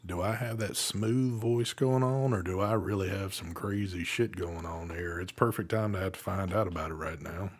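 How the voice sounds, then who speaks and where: A man's voice: low-pitched, moderate pace, few pauses. A man hosting a live vape show in casual chat, laid-back and swearing freely.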